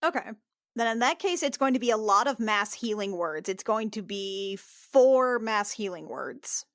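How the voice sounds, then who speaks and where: A woman's voice: mid-range voice, animated, unhurried, no echo, clean studio sound. A woman talking casually as a tabletop game player, thinking aloud with some hesitation. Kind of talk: casual roleplaying game talk.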